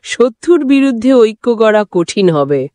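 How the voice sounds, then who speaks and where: A woman voicing a calm, wise queen giving counsel in a cartoon. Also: a little high, unhurried, no echo. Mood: concerned.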